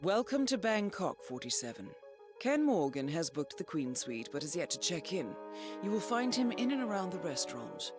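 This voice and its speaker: A low-voiced, composed spymaster handler. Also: female voice, big pitch swings. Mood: wry, composed. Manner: composed, formal, measured, dryly knowing. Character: cool, authoritative female handler briefing an assassin. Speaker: mission handler narrating briefings. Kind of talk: scripted game cutscene voice acting. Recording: loud music under the voice.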